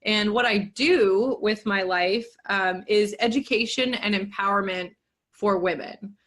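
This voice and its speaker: A woman in her thirties speaks openly as a podcast guest, chatty and self-deprecating. Her voice sits in a middle pitch. She laughs easily between thoughts. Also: a little slow, fairly bright tone. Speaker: yoga business coach and guest. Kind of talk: podcast interview.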